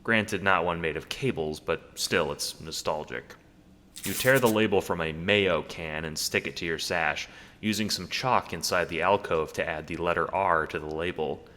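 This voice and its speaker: A man's voice: deep voice, normal speed, pushed and bright, in a large hall, a little hiss or hum. A man narrating a scripted audio drama as a wry, theatrical storyteller addressing the listener.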